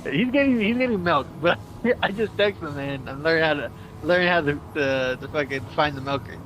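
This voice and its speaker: A young man chats outdoors on a stream, joking and teasing, laughing a lot and stumbling over his words. His voice sits a bit high. Loud street and traffic noise runs behind him. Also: expressive, a little fast. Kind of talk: joking casual chat. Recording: noisy outdoor street recording.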